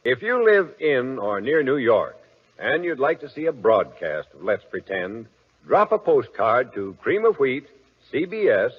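A man's voice: medium pitch, very animated, unhurried. A man announcing credits and sponsor messages in the style of forties network radio. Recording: husky, muffled old radio recording, faint music.

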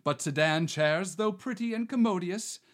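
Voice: medium pitch, normal speed, lightly acted. A performer playing a swaggering male bandit who poses as a romantic marquis, delivering verse theatrically. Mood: mocking. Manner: bombastic, theatrical verse delivery with wild swings. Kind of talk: amateur reading of a verse play.

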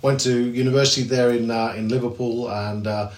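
A man's voice: mid-range voice, steady tone, fairly quick, roomy sound. A man with an English accent, a real estate agent, answering interview questions in a chatty way with many fillers and restarts. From England. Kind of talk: podcast interview.

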